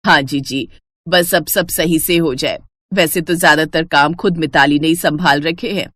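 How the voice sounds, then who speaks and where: Woman playing kindly middle-aged mother, soft hesitant speech, storybook cartoon. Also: low voice, fairly even intonation, moderate pace, no room sound, pitched below the performer's own voice. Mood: mild.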